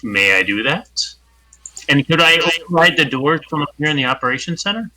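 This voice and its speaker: Sharp-toned man asking careful questions. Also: fairly high pitch, animated, a little fast, poor audio. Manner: polite, asks careful questions. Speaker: player. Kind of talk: casual tabletop game chat.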